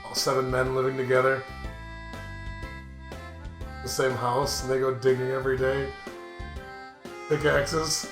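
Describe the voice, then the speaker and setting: A man's voice: mid-range voice, completely flat delivery, a little slow, pauses often, echoey room, music in the background. A man co-hosting a gaming show, reading aloud and bantering casually in a crude, jokey manner.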